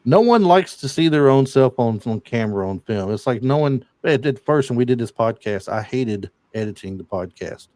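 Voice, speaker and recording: A man's voice: medium pitch, expressive, moderate pace, few pauses, a little mellow. A man with a mid-range voice hosts a casual podcast, retelling film scenes and reeling off trivia. He stops and starts often. His voice sounds dry and close, with no room echo. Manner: retells movie scenes and reads off film trivia, with many fillers. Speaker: podcast host. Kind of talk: casual chat.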